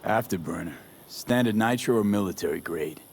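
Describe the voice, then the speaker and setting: A man's voice: on the low side, normal speed, creaky, slight background noise. A man playing a streetwise mercenary in a dystopian video game, talking in a clipped, casual, dry way. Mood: casual interest. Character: terse, streetwise male mercenary in dystopian future.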